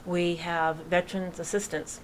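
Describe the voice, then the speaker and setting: A woman in her sixties with a Boston accent talks as a guest in a studio interview. Her voice sits low, and she speaks in a friendly, plain-spoken way. A faint ambient hum runs under the recording. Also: little pitch movement, unhurried, poor audio. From Massachusetts, USA. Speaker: guest, charity founder. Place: local radio studio.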